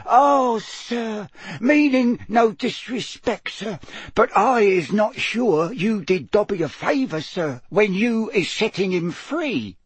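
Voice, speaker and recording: A man voicing a tiny, timid female house elf servant in an audiobook, squeaky and quivering, deferential and anxious, with broken grammar. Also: expressive, breathy edge, dry recording, full character voice. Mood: awed, apologetic. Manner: subservient, broken grammar, drawn-out phrasing.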